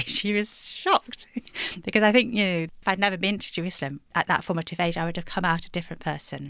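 A woman's voice: lowish voice, fairly bright tone, dry recording, clean studio sound. A woman poet from England answering interview questions thoughtfully, laughing lightly between thoughts. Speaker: poet guest.

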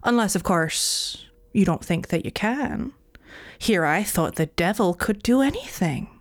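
A woman's voice: mid-range voice, lively intonation, normal speed, no room sound, studio quality, voice pushed up, natural voice. A woman doing a smug, wheedling trickster man. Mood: sly, smug. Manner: wheedling, goading. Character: sly silver-tongued male trickster, smug.

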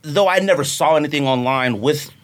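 A man co-hosting a podcast in casual chat, emphatic and animated as he acts out his own reactions. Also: a little high, fairly quick. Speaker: podcast co-host.

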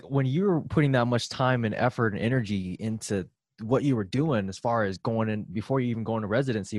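A man's voice: fairly low pitch, darkish tone, no echo, studio quality. A man working as a podcast interviewer, asking questions in a casual conversational style.

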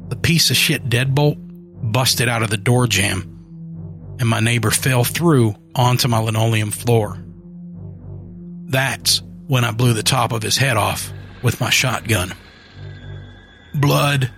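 A man's voice: medium pitch, fairly even intonation, slow, vocal fry, music in the background. Man reading a horror story. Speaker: narrator.

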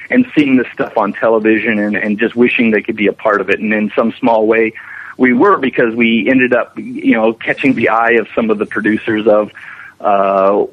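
A man's voice: lowish voice, steady tone, moderate pace. A man being interviewed as a special effects animator, explaining his work conversationally with frequent restarts. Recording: narrow, tinny phone-like line.

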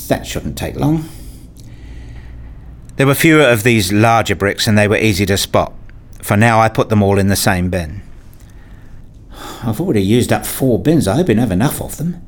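A man acting as a wounded, self-justifying father in a radio play, speaking in an introspective, deliberate way. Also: fairly low pitch. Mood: subdued, focused. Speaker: narrator and lead actor in a radio play. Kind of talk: radio play narration and dialogue.

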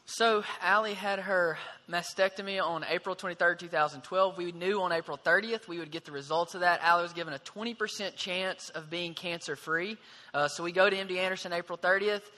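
A young man in his twenties recounts events earnestly and at a measured pace, with many pauses and fillers. His voice sits high for a man and sounds very bright. A long hall reverb follows each phrase. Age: 20s. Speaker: husband sharing testimony. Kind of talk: earnest storytelling.

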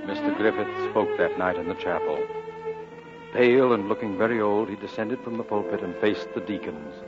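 A man's voice: lowish voice, normal speed, natural voice. A man acting a grown narrator somberly recalling his boyhood, in scripted 1940s radio drama narration. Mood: somber, wistful. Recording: dull old radio recording, music underneath. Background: orchestral string music.

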